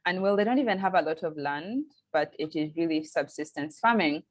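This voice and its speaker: Young Ugandan woman, low pitch, earnest interviewee, tight dry recording. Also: lively intonation, fairly quick, no room sound. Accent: Ugandan English. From eastern Uganda. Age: young adult. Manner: earnest, frequent restarts and tag "okay?". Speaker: PhD student. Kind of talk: interview answers.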